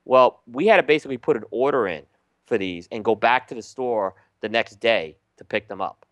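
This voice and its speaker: Relaxed, smooth-voiced male co-host. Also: medium pitch, a little fast, dry recording. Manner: relaxed, many ums and restarts. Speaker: podcast co-host. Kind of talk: casual chat reviewing cigars.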